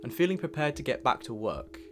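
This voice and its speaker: A man reading a scripted, reflective year-in-review narration in a measured way as a vlog narrator. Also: medium pitch, big pitch swings, over music.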